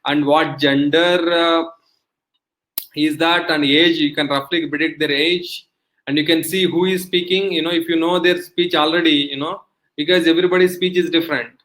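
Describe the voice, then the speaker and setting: A man's voice: fairly high pitch, fairly even intonation, normal speed, fairly bright tone, poor audio. A middle-aged man giving an online technical lecture, explaining step by step with frequent fillers and checks of "okay". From India.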